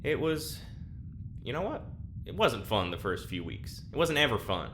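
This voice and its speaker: A man's voice: mid-range voice, sing-song, normal speed, a bit bright, slight background noise. A man playing a tired, sardonic crewman in an audio drama, grumbling and wry. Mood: exasperated. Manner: wry, weary complaining. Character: tired, sardonic young male diarist on a spaceship.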